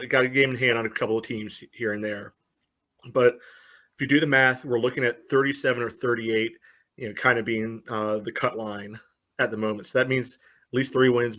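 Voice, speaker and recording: A man's voice: mid-range voice, fairly bright tone. A man co-hosting a sports podcast, talking casually with dry sarcasm and constant "you know" fillers. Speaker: podcast co-host and soccer fan pundit.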